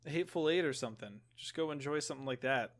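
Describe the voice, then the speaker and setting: A man's voice: fairly high pitch, quick pace. A man co-hosting a podcast, chatting casually about games in an informal, rambling way. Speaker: podcast co-host.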